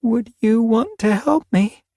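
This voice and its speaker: A high, soft young she-cat, fragile and breathy from exhaustion and grief, with a gravelly catch on the low ends of her words. The voice sits very high and close, and the recording is dry with no room sound. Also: animated, takes it slowly, no echo, heavily acted. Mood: tender, pleading. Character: weak, grieving young she-cat giving birth. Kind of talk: audiobook character voice.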